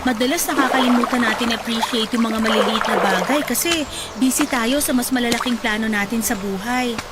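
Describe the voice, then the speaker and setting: A woman's voice: on the high side, a little fast, very noisy, close to an everyday voice. An actress playing a chatty, encouraging young woman volunteer who teases lightly and laughs often. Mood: friendly. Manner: lively, teasing, laughs often. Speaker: volunteer at a charity event. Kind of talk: radio drama acting. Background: loud background music.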